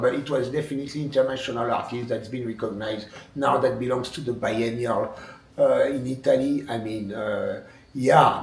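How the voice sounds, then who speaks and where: A man's voice: medium pitch, gravelly, a little mellow. A man speaking English with a French accent, his first language being French, talking as a wood sculptor in a documentary interview, hesitant with many fillers and restarts. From France. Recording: echoey room, distant mic.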